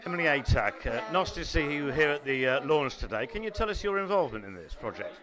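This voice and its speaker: A man's voice: on the high side, expressive, fast, crowd noise. A man with an English accent interviewing guests at a launch, asking chatty, friendly questions.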